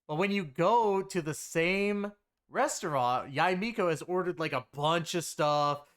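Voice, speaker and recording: A man's voice: on the high side, a little slow, fairly bright tone, clear, resonant tone. A man giving a casual, rambling game story review in a conversational way, leaning on filler phrases. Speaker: game reviewer and content creator.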